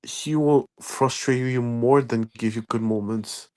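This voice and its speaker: A man's voice: mid-range voice, fairly even intonation, measured pace, a little mellow. A man co-hosting a casual football chat, firm and opinionated.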